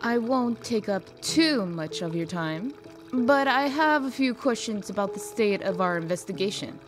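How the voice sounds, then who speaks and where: A woman's voice: mid-range voice, animated, measured pace, soft background music, natural voice. A woman playing a stern, composed government official in a fairy-tale comic dub, formal and insistent. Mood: insistent, serious. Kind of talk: dramatic comic dub acting.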